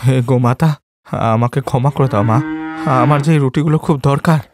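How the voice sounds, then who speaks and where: A man's voice: mid-range voice, moderate pace, a crowd in the background, close to an everyday voice. A man voicing a humble, caring and distressed poor father in a cartoon moral story. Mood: pleading. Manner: plaintive, earnest, repeats phrases.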